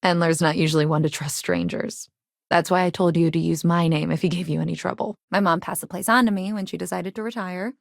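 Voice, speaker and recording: An actress voicing a guarded but caring, plain-spoken woman innkeeper from a rough sci-fi district in a video game. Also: fairly low pitch, steady tone, brisk, no echo, clean studio sound. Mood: calm, earnest. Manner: plain-spoken, earnest, civic-minded. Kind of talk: game dialogue lines, conversational.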